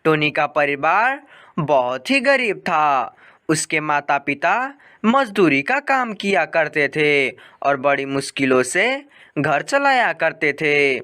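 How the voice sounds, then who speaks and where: Children's story narrator: unhurried delivery, lively melodic intonation, scripted read. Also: medium pitch.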